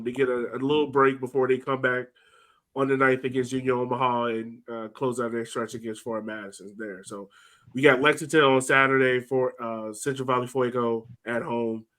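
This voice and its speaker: A man's voice: medium pitch, a bit bright. A man chats loosely on a sports podcast. He rambles through his points and laughs easily. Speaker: podcast co-host. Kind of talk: casual chat.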